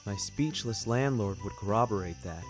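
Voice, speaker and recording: A man's voice: on the low side, expressive, moderate pace, soft background music. A young man reading scripted first-person fiction, carefully phrased, in a literary and dramatic manner. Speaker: narrator and author of a fiction podcast.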